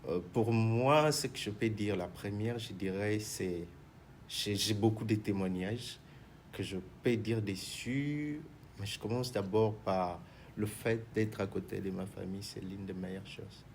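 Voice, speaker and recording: A man's voice: on the low side, measured pace, a little mellow, a little hiss or hum. A man, a manager and minister answering in a TV talk show interview, hesitant and mixing in Lingala. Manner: hesitant, many restarts, mixes in Lingala.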